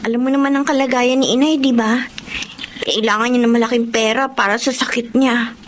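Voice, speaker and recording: Caring young daughter played by an actress, high pitch, earnest, respectful, anxious for family, Filipino radio drama, slight noise. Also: female voice, steady tone, fairly quick, close to an everyday voice. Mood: earnest, resolved. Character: dutiful, caring young student daughter, worried. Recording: faintly noisy radio drama recording.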